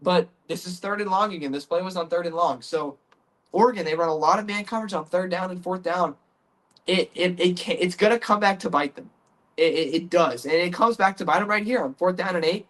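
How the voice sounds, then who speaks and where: A man's voice: high-pitched, fairly quick, a bit bright. Congested man breaking down football. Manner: earnest, chatty, stumbles and repeats words. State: congested from the flu. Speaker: sports podcast host. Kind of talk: casual football film breakdown.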